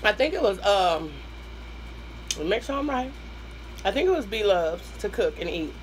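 A hoarse woman with a Southern US accent talks casually to her viewers between mouthfuls, calling them y'all and humming over her food. She sits at a table in a small room at home, close to the camera, with a faint hum of traffic outside. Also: medium pitch, big pitch swings, a little slow. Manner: chatty, addresses viewers as y'all, hums while eating. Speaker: mukbang host. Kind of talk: casual eating-show chat.

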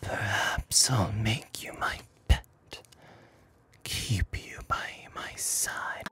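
A man voicing an arrogant, seductive, menacing demon lord in a slow, breathy ASMR whisper. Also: lowish voice, fairly bright tone, voice pushed up. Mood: proud, seductive. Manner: slow, deliberate, haughty.

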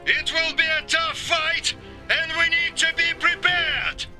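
An actor playing a commanding, ruthless military colonel in a video game, with a Russian accent. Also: male voice, very high voice, steady tone, unhurried, loud music behind the voice. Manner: barking, commanding.

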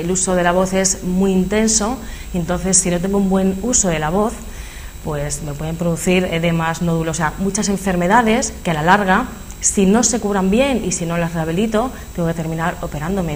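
A woman with a Spanish accent speaks a touch low, explaining with lively ease and frequent fillers as a studio guest. A faint hiss sits under her voice. She sounds like an expert at home with her topic. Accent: Spanish from Spain. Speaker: speech therapist guest. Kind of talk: studio interview. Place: local TV studio.

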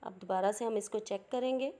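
A woman's voice: mid-range voice, fairly quick, mellow. A woman with a Pakistani Urdu accent, hosting a cooking channel and patiently explaining each step of a home recipe.

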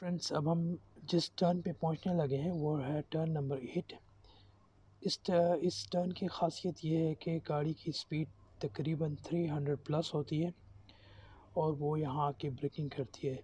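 A man's voice: a little high, moderate pace, slightly rough. A man in his thirties giving casual, informal vlog commentary, mixing English terms into his talk. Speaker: vlogger. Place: inside a car and outdoors cycling on a track. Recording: steady vehicle and outdoor background noise.

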